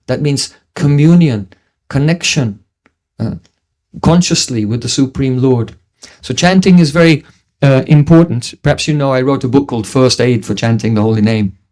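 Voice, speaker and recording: A man's voice: medium pitch, a little mellow. A middle-aged man from New Zealand giving a devotional lecture, teaching earnestly with frequent restarts and chanted Sanskrit verses. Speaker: spiritual teacher.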